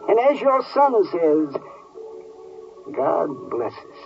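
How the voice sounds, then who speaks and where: An excitable old American miser from a Western radio play. The voice is husky and fairly high, repeating words in folksy exclamations with big swoops. It sounds distant, as on a 1950s broadcast. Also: male voice, drawn-out words, lo-fi sound, soft background music, exaggerated voice. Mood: joyful, tender. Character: excitable old male miser rancher turned joyful and generous. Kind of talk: 1950s radio drama acting.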